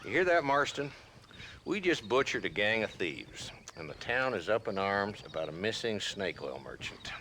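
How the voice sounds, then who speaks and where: Grumbly old southern sheriff type from a cowboy game, real low voice, sarcastic, some noise behind. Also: male voice, very animated, moderate pace, sharp tone, heavily acted. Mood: dry scorn. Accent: American Southern. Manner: dry, folksy, grumbling. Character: weary, sardonic old frontier town marshal. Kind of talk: Western video game acting.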